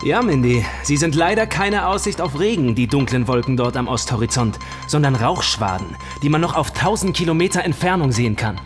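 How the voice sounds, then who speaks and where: A man's voice: fairly high pitch, animated, fairly quick, over music, lightly acted. A male actor playing a polished television news co-anchor in a radio drama. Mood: polished, inquiring.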